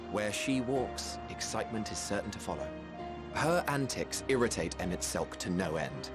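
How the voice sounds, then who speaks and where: Genial low-voiced mage, eloquent and expressive. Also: male voice. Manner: eloquent, formal, measured storytelling. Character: genial, wry, modest male mage, admiring of friends. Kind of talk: video game cutscene acting.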